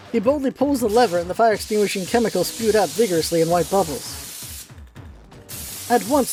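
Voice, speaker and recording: A woman's voice: mid-range voice, darkish tone. A woman reading scripted storybook narration as the narrator of a radio play.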